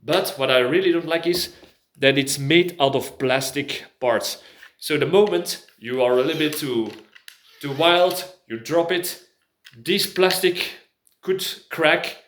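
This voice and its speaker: A man's voice: fairly high pitch, a little slow, fairly bright tone. An English-speaking man gives a casual product review, hesitantly searching for words. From Belgium. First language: not English. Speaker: prepper video host. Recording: echoey room.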